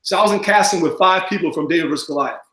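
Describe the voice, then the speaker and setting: A man chatting as a podcast guest, mumbling and talking fast with lots of fillers. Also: on the high side, a bit bright, reverberant. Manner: rambling with many fillers. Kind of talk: casual podcast chat.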